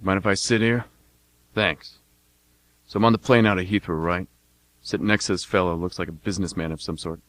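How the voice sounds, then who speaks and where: Low male voice, acted, blunt war veteran turned mercenary, folksy, cocky, self-justifying, radio play monologue. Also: steady tone, fairly quick, long pauses, fairly bright tone, no echo. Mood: defensive. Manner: folksy, plain-spoken, cocky.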